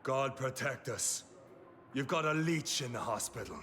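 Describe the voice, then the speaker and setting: A man's voice: mid-range voice, expressive, a little slow, a bit bright, a little hiss or hum. A man playing a suspicious, pious, folksy vampire hunter in a video game, talking in threats. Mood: menacing, accusing. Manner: threatening, speaks in hunting metaphors. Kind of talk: video game dramatic acting.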